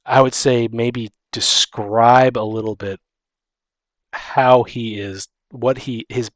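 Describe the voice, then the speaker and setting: A man talks as a co-host in a loose, casual conversation. His pitch is average and his delivery rambles, halting and starting sentences over. The sound is very dry, with no room around it. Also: steady tone, no room sound. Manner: rambling, halting, restarts sentences mid-thought. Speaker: podcast co-host.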